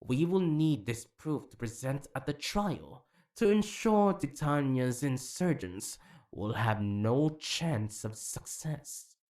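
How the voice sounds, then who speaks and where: Calculating male high-council figure acted by a man, slow, formal, with dramatic rises and falls. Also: lively intonation, darkish tone. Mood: cold, portentous. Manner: portentous, formal. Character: cold, solemn, calculating male council member. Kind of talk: fantasy audio drama acting.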